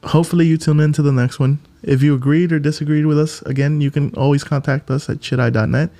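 A man co-hosting a casual movie podcast, animated and playful, often jumping in over his partner. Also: on the high side, fast. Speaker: podcast co-host.

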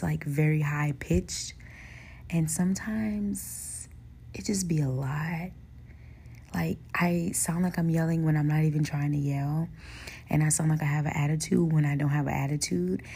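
Young woman podcast host, hoarse and husky, with a low pitch; relaxed, slangy solo chat. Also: a little slow. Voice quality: raspy, soft voice. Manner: relaxed and chatty, laughs often, slangy asides. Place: hotel room.

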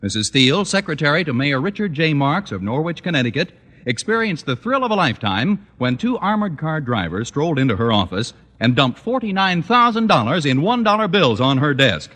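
A man's voice: a little high, sing-song, a little fast, a bit bright. A man reading a script as a polished announcer for an old-time radio show. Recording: noisy vintage radio recording.

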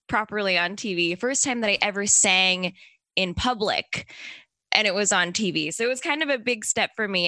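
Bright chatty young woman. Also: mid-range voice, no echo. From Canada. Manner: chatty, upbeat, sprinkled with likes and ums. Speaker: interview guest. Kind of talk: casual interview answers.

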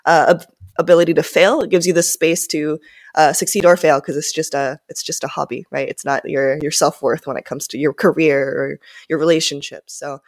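A woman's voice: low-pitched, steady tone, brisk. A woman in her twenties, born and raised in Japan with Japanese as her first language, answering interview questions in a rambling, casual way with many fillers. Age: 20s. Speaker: guest, startup founder.

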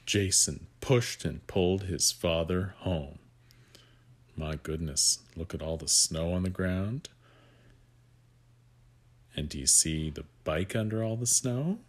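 Deep, unhurried man reading a picture book. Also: breathy edge. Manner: gentle guiding asides to the child listener. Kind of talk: read-aloud storytelling for young children.

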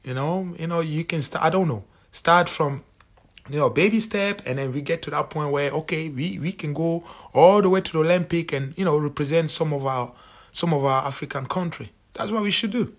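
A man from Cameroon with a Cameroonian accent, talking casually through a countdown list, animated and full of fillers and restarts. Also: a little high, fairly quick. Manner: animated, repeats himself, many fillers. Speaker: vlogger.

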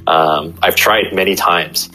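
Bright-voiced, low-pitched man explaining chiropractic. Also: fairly even intonation, slow, faint music behind, poor audio. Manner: explains with everyday examples, many fillers and restarts. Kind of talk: casual interview talk.

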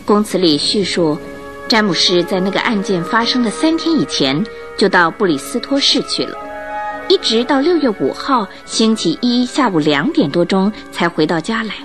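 Female radio-drama narrator, mid-pitched and very animated, measured storytelling with music underneath.

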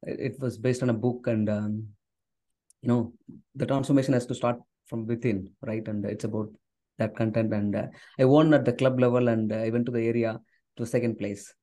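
A man's voice: medium pitch, steady tone, normal speed, mellow. A middle-aged man from southern India whose first language is Tamil, talking casually as a podcast guest, with many fillers. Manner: conversational, many "uh" and "I mean" fillers. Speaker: podcast guest, software engineer and author. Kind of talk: casual interview conversation.

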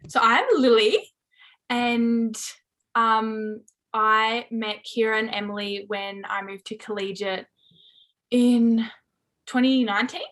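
A woman's voice: fairly high pitch, level tone, slow, pauses often, a bit bright. A twenty-year-old woman from Tasmania with an Australian accent, a guest on a podcast, talking candidly with lots of "like" and casual swearing. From Hobart, Tasmania. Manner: chatty, candid, frequent "like" fillers, swears casually. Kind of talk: casual chat.

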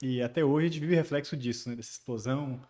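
A man, a guest on a podcast, telling stories in a relaxed way and often ending sentences with tag questions. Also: mid-range voice, quick pace. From Bahia, Brazil. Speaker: guest, construction company chief. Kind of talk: casual podcast interview.

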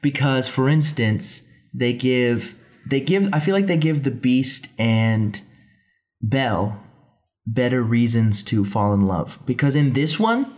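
A young man co-hosting a podcast, chatting casually in a quick, rambling, joking way. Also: medium pitch, animated, a little mellow. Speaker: podcast co-host. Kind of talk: casual chat.

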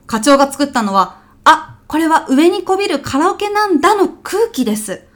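A woman's voice: high-pitched, big pitch swings, moderate pace, a bit bright. A woman playing a polite, strict junior office organizer in a comic radio drama, coolly businesslike as she tallies up fines. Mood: stern, judging. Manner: polite, businesslike, matter-of-fact.

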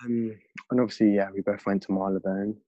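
A man's voice: lowish voice, little pitch movement, fast, dark tone, no echo. A young man from London with an English accent, a podcast guest reflecting earnestly in casual conversation. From London, England. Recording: dull lo-fi dry recording.

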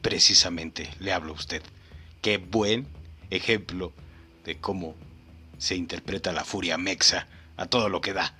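Podcast host guy, deep but bright forceful voice, mock-serious and playful, chatting right at the listener. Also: fairly even intonation, a little slow, husky, soft background music. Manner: mock-solemn, playful, addresses listener directly. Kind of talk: podcast commentary on clips.

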